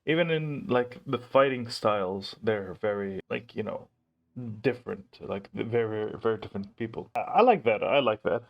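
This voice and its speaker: A man's voice: on the low side, moderate pace, vocal fry, highs cut off. A man giving casual reaction commentary as a host, repeating words as he thinks aloud.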